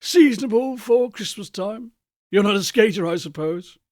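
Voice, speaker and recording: A man's voice: high voice, moderate pace, a little mellow, no echo, clean studio sound, pitched above the performer's own voice, lightly acted. A man voicing a wealthy businessman making flippant small talk in an audiobook. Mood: breezy. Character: wealthy male businessman, brisk and offhand.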